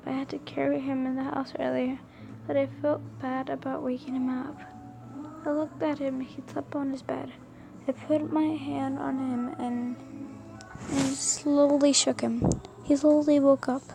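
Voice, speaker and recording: A woman reading a first-person story aloud as an amateur narrator, haltingly, often stumbling and rereading words. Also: high-pitched, vocal fry, dark tone. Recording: music behind the voice. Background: music.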